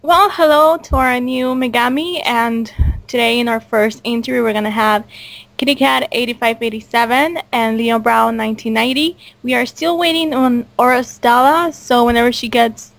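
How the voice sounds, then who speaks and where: Female host for an informal online cast interview; chatty, voice on the higher side. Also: normal speed, vocal fry, fairly bright tone, no echo. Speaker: host and audio drama creator. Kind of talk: casual online group interview.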